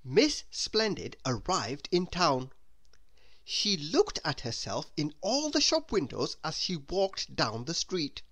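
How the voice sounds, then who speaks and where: A woman reading a children's story aloud in an expressive, deliberate manner. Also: low-pitched. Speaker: storybook reader. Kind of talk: children's read-aloud narration.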